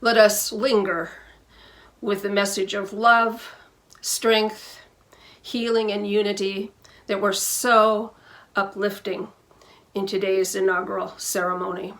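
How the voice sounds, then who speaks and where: A woman minister speaks at a middle pitch, recorded at home. She delivers a scripted welcome for a church service, phrasing it in a measured and deliberate way. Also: level tone, a bit bright.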